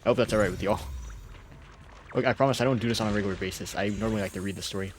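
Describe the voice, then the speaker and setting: A man's voice: fairly low pitch, racing, a little hiss or hum. A man doing casual, chatty live gameplay commentary as a streamer, with game music behind him. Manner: chatty, laughs often, casual swearing. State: feeling unwell. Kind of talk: casual live gameplay commentary, reading story summaries aloud.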